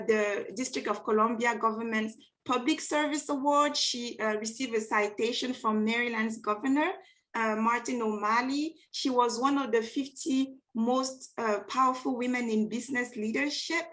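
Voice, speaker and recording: A woman's voice: a little high, a bit bright. A woman hosting a networking event, introducing a guest speaker in an enthusiastic, emphatic way. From Cameroon and France. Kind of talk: welcome speech at an online networking event.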